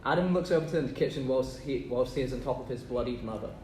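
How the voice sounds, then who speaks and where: A man's voice: medium pitch, fast, warm tone. A man reading stage directions aloud from a script. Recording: echoey, distant room with noise.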